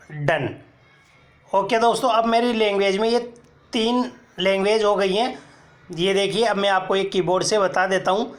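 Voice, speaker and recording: A man. He goes one step at a time, pausing to point things out and telling viewers to look here. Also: high voice. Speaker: tech tutorial presenter. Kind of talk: screen-recorded how-to explanation.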